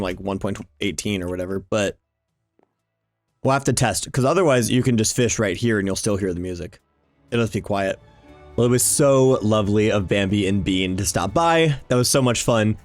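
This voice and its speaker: A man giving casual, informal game commentary with an enthusiastic manner. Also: mid-range voice. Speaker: video game vlogger, host. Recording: music behind the voice. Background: game background music.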